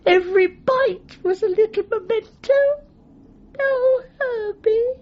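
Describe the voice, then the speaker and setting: A woman's voice: extremely high pitch, takes it slowly, dull audio. A woman acting a genteel, effusive British dog lover, feeble but defiant, in a radio comedy drama. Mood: tearful, nostalgic. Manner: effusive, melodramatic, genteel turns of phrase.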